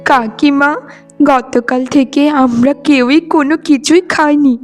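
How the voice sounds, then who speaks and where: A woman's voice: high voice, fairly even intonation, unhurried. A woman voicing a poor, earnest, dutiful young daughter in a cartoon, speaking with a pleading manner. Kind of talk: cartoon voice acting. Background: faint music.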